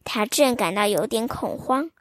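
A woman storyteller reading a fairy tale to children in a slow, expressive, sing-song way. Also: a little high, no echo, clean studio sound.

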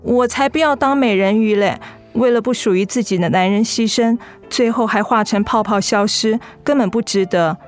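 An actress playing a devoted, tender and anxious young adult daughter in a Mandarin radio drama. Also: female voice, a little high, normal speed, hardly any pauses, faint music behind, natural voice. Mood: playful, cheeky.

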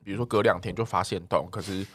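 A man's voice: sits low, steady tone, quick pace, fairly bright tone. A young man co-hosting a casual podcast, somewhat hesitant as he steers the talk back on topic. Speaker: podcast co-host. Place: podcast recording studio. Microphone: close studio microphone.

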